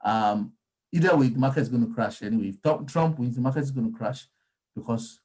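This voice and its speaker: A man's voice: medium pitch, a little mellow, low-quality recording. Man explaining markets. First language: Yoruba. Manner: discursive, frequent ums and restarts. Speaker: crypto market commentator. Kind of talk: casual explanatory talk.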